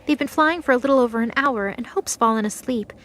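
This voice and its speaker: A woman's voice: fairly high pitch, fast talker, fairly bright tone. A woman narrator reading a scripted dramatic story for an audio drama. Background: music.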